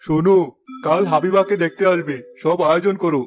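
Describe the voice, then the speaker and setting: Man as devoted village dad, firm, fairly high, brisk. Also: fairly even intonation, faint music behind, close to an everyday voice. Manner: firm, protective. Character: caring middle-aged village father.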